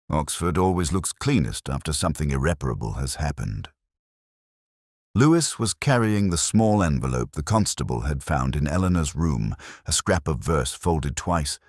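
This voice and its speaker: A man playing a brooding, melancholy detective, narrating his case in a measured, literary, reflective way. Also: very deep voice, no room sound, clean studio sound. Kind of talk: scripted first-person detective narration.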